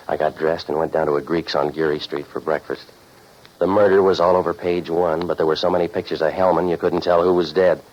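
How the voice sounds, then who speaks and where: An actor playing a cynical, deadpan private investigator in a scripted old-time radio detective drama. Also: male voice, sits low, close to an everyday voice. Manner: deadpan, sardonic. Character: cynical hard-boiled private investigator, wisecracking loner. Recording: noisy muffled old radio recording.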